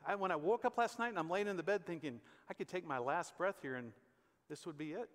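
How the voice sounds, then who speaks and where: American guy in his 50s preaching, kinda high voice, emphatic but chatty, big echoey church. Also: fast talker, fairly bright tone. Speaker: pastor. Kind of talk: conversational sermon. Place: large church auditorium stage. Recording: echoey hall with long reverb.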